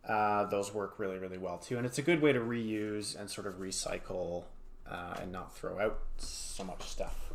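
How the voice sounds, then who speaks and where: A man giving a casual, step-by-step craft tutorial as an art educator, rambling a little with informal asides. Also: mid-range voice. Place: home studio. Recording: clear background noise, small clicks.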